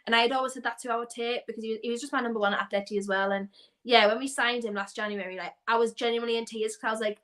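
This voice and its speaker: A young woman from North East England chatting casually about football as a guest, animated and quick to laugh. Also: a little high. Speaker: guest fan.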